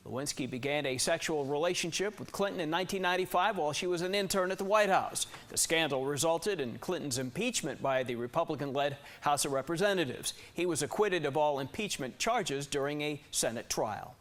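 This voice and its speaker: A man's voice: a little high, expressive, brisk, hardly any pauses, a bit bright. An American man reading scripted TV news as an anchor, with emphatic delivery. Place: TV news studio.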